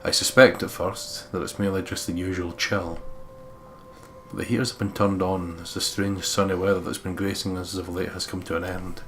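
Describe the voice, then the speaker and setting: A man's voice: deep voice, level tone, fairly quick, fairly bright tone, a little hiss or hum. A man hosting a let's play, reading visual novel narration aloud with some casual commentary.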